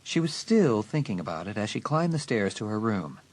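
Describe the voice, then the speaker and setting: A man's voice: fairly low pitch, very animated, a little mellow, dry recording. A man narrating a horror audiobook in a dramatic, suspenseful scripted style. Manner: suspenseful, deliberate emphasis.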